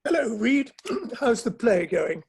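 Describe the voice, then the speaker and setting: An earnest, frank Victorian gentleman, played by a man in a stage reading. The voice is husky, and the delivery is measured and formal, that of a principled friend. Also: very high-pitched, very animated, full character voice. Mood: polite. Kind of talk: online dramatic play reading.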